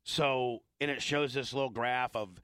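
A man hosting a radio show in casual banter, hoarse and rambling in stop-start bursts with self-deprecating asides. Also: medium pitch, a little slow, a bit bright, dry recording, studio quality. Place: radio studio.

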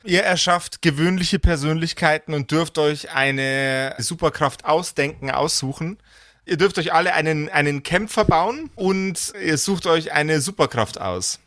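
A man's voice: on the high side, measured pace, a bit bright, no room sound, studio quality. A man speaking informally as a game master on a podcast, chatting and explaining rules. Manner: informal, colloquial. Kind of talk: casual chat and rule explaining.

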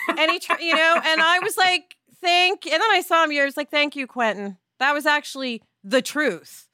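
A woman hosting a casual podcast chat, talking in an animated, rambling way and acting out quotes. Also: high voice, normal speed, no echo, studio quality. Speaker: podcast host.

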